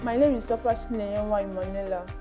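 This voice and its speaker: A woman's voice: mid-range voice, steady tone, fast talker. Brief, plain-spoken woman. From Nigeria. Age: 20s. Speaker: workshop participant. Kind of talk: self-introduction. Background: background music.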